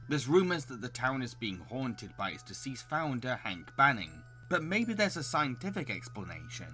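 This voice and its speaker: Bright-voiced man narrating a video review. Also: medium pitch.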